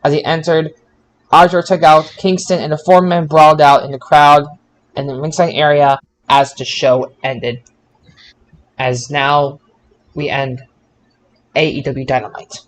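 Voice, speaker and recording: A man with a slightly high voice hosts a podcast. He talks casually, in the style of a sports recap between co-hosts. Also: level tone, a little slow, pauses often, low-quality recording. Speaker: podcast host. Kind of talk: casual wrestling show recap.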